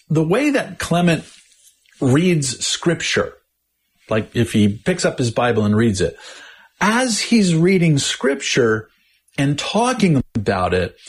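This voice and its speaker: A man with a slightly husky voice talks through a topic on a podcast. He explains carefully and thoughtfully, often restarting a phrase as he works out his point. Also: mid-range voice. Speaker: podcast co-host. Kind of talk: casual theological discussion.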